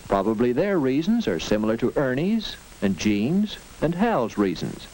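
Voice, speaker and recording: 1940s educational film narrator, lively and earnest. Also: male voice, medium pitch, moderate pace, low-quality recording. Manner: earnest, instructive, lively delivery. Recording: old film soundtrack with faint hiss and clicks.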